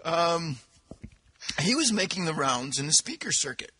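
A man's voice: fairly high pitch, sing-song, a little slow, sharp tone, slightly rough, rough recording. A man co-hosting a podcast, chatting casually and telling jokes with a giggly manner. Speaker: podcast co-host.

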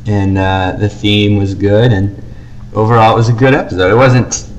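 A man with a fairly low voice co-hosts a podcast in casual conversation. He talks through his opinions informally, over a faint bed of background noise. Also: fairly even intonation, normal speed, highs cut off. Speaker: podcast co-host.

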